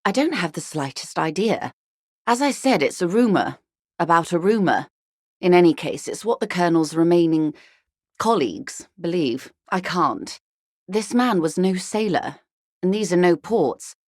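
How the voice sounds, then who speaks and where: Composed corporate negotiator, slightly low pitch, refined, dryly ironic, measured, video game dialogue, very dry recording. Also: female voice, lively intonation, dry recording. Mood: grave, measured. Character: composed, genteel, shrewd female corporate negotiator.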